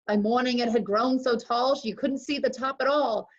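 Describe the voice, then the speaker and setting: A woman's voice: on the high side, fairly even intonation, normal speed. A woman reading a rhyming bedtime story expressively, as a storyteller and co-host. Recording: dull lo-fi call audio.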